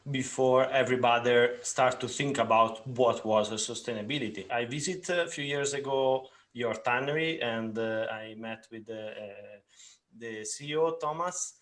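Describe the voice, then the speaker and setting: A man's voice: mid-range voice, moderate pace, fairly bright tone. A man co-hosting a talk, a non-native English speaker who hesitantly searches for his words. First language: not English. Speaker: co-host. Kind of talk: conversational commentary.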